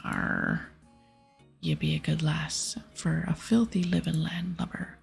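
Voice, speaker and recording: A woman's voice: sits low, huge pitch swings, slow, soft background music, exaggerated voice. Woman whispering as a boastful pirate captain. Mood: sly, scolding. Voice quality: breathy, whispered. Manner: piratical dialect with "arr" and "ye". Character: gruff, boastful old male pirate captain, fond of rum. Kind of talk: reading game dialogue aloud in ASMR.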